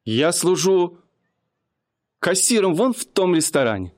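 A man's voice: high-pitched, big pitch swings, unhurried, a little mellow. An actor playing an earnest, humble young man who works as a restaurant cashier, speaking eagerly and politely in a radio play.

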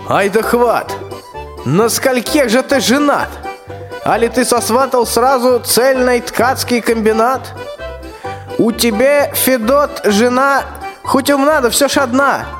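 An actor playing a pompous, threatening old tsar in a rhymed fairy-tale radio play. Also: male voice, extremely high pitch, takes it slowly. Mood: grudging, scornful. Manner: pompous, colloquial rhymed speech. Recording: long hall reverb, music behind the voice.

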